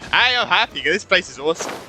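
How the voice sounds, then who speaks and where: A man streaming a game with casual, excitable live reactions, full of exclamations. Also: high-pitched, moderate pace, soft background music, poor audio.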